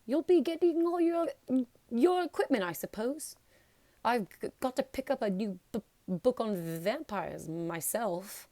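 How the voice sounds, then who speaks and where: A woman voicing a nervous young male professor in a storybook, muttering and stuttering haltingly on his words. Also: fairly high pitch, animated, warm tone, dry recording, pitched above the performer's own voice. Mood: nervous, stammering.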